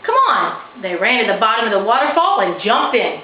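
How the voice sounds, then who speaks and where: Lively woman reading a children's story. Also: mid-range voice, fast talker. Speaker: author reading her own children's book. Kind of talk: expressive children's story narration. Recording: roomy, slightly dull, faintly noisy recording.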